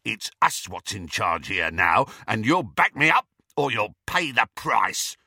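A man voicing a brutish, hot-tempered, cowardly villain wizard who bellows in rough, ungrammatical speech, in an audiobook. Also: fairly low pitch, big pitch swings, a little slow, sharp tone, no echo, clean studio sound, exaggerated voice. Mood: menacing. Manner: bellowing, uneducated non-standard grammar.

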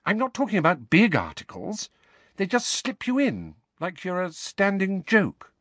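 An anxious, clever teenage witch, played by a man reading a storybook, her voice lifted well above his own. She explains things quickly and earnestly, with lively swoops in pitch. The sound is dry and close. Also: no room sound, exaggerated voice. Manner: rapid, earnest, nervous explaining. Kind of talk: audiobook character voice.

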